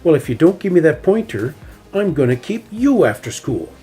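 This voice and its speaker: A man voicing a stubborn, fiery young female bear cub who shouts when crossed. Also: on the high side, very animated, normal speed, soft background music, voice pushed up. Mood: angry. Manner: shouty, indignant.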